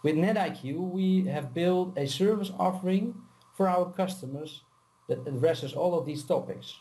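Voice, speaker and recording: A man answers an interview question from his office, explaining in a measured way. His voice is fairly high yet mellow and soft-edged. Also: low-quality recording. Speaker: solution account architect.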